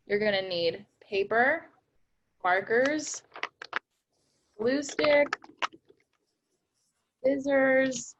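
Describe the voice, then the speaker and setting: A woman's voice: mid-range voice, a little hiss or hum, poor audio. Upbeat young woman demonstrating a craft. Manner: upbeat, explains steps while working. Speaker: workshop presenter.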